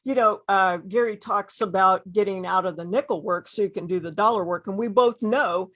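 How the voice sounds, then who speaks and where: A woman's voice: medium pitch, normal speed, a bit bright. Female interview host, mid-range voice, enthusiastic and effusive. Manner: effusive, repeats phrases for emphasis. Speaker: host interviewing successful online sellers.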